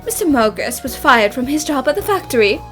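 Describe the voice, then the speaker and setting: A woman playing a confident young female detective in an animated fan dub, explaining a case with theatrical assurance. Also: sits high, brisk, soft background music.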